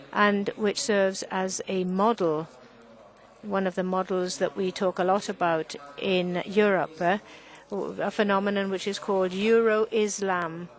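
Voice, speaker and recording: Woman interpreter, mid pitch, slow and halting delivery, restarts mid-word. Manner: halting, frequent restarts and self-corrections. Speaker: conference interpreter. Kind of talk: simultaneous interpretation of a panel talk.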